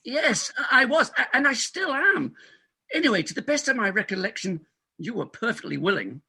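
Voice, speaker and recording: Man acting a flustered, husky, pompous hospital doctor. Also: extremely high pitch, lively intonation, moderate pace, pushed and bright, full character voice. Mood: defensive, awkward. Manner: blustering, stammering. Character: flustered middle-aged male London hospital doctor, pompous, evasive. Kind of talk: comic stage play reading.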